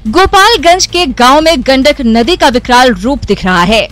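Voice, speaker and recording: High-voiced woman reading the news. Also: lively intonation, a bit bright, soft background music. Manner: measured, formal reading. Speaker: news voice-over narrator. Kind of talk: scripted news narration. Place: studio voice-over.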